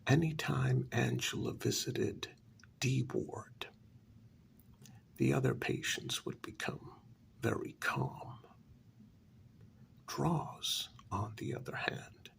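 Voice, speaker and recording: Slow, creaky, half-whispering man. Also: mid-range voice. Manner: deliberate, suspenseful, with many dramatic pauses. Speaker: storyteller. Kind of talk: soft-spoken ASMR story narration, first-person role-play.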